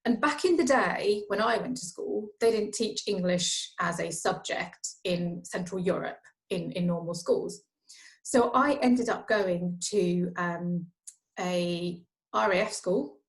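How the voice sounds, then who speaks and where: A woman's voice: on the low side, slow pace, poor audio. A woman born and raised in Norway, a finance professional chatting as an interview guest, often repeating words as she restarts her sentences. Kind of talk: casual interview conversation.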